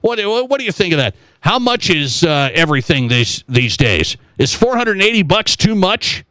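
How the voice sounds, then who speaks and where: A man with a high, bright voice talks as a sports radio host, recorded close and dry. He is conversational and animated, often posing rhetorical questions to his audience. He repeats key phrases to drive a point home. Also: moderate pace, no room sound.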